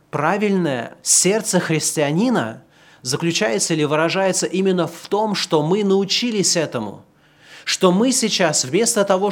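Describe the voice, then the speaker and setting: Man preaching with slow, swelling emphasis. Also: on the high side. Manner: swings from slow, loud emphatic preaching to quieter conversational asides. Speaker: pastor. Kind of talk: sermon.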